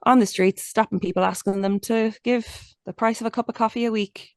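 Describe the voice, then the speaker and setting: A woman's voice: a little high, fairly even intonation, brisk, no room sound, clean studio sound. A young adult Irish woman in a casual interview, talking candidly and chattily with lots of restarts and fillers. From Ireland. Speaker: interview guest, musician.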